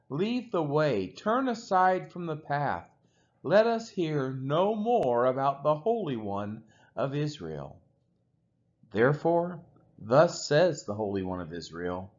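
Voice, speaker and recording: A man reading the Bible aloud in a solemn style, with deliberate, measured phrasing and pauses. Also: mid-range voice. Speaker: scripture reader. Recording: dull, lo-fi audio.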